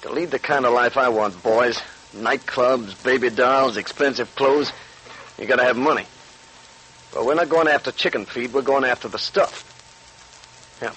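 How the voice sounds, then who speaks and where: Actor as a cocky, forceful 1940s radio gangster. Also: male voice, medium pitch, close to an everyday voice. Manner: boastful, emphatic, slangy tough-guy talk. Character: cocky, swaggering male gangster bank robber craving money and nightlife. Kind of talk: 1940s radio crime drama acting. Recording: noisy vintage 1940s radio recording.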